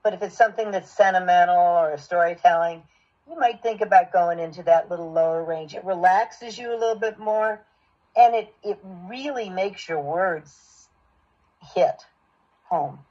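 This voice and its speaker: A woman's voice: fairly low pitch, moderate pace. A woman giving supportive, affirming feedback as a voice acting coach, on dull lo-fi call audio.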